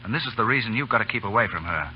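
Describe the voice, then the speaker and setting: A man's voice: lowish voice, expressive, racing, a little hiss or hum, lightly acted. An actor playing a brash, protective male Canadian officer with bossy, sarcastic banter in a vintage radio drama. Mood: cocky. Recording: muffled old radio recording, music behind.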